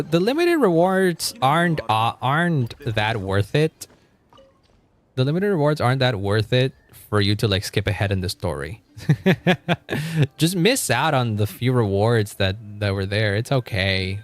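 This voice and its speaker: A man's voice: mid-range voice, very animated, normal speed, dry recording. A man livestreaming a game, chatting casually with viewers in a rambling, informal way with some mild swearing.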